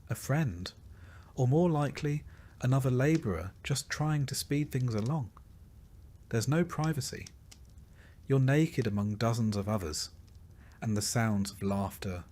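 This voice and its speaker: Male narrator, lowish voice, very unhurried, measured delivery for long-form history bedtime storytelling; some ambient noise behind. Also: darkish tone. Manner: measured, descriptive second-person storytelling.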